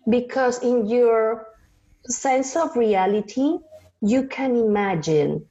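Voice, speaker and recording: A woman's voice: fairly high pitch, slow pace, warm tone, muffled recording. A woman with a Mexican Spanish accent and Spanish as her first language. She is an actress in a podcast interview, searching for English words and slipping into Spanish.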